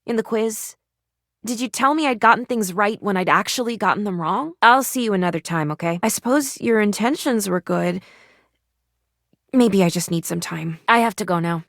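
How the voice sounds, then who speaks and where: A woman's voice: mid-range voice, fairly bright tone, dry recording, studio quality, close to an everyday voice. A woman voicing an eager, theatrical first-aid kit in a video game, prim and chirpy with old-fashioned, formal phrasing. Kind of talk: video game character voice acting.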